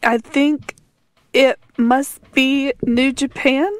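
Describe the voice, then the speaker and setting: A woman's voice: high voice, fairly even intonation, drawn-out words. A woman with a head cold and a stuffed-up voice, leaving a rambling, giggly voicemail full of fillers. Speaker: voicemail caller. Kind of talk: casual voicemail message.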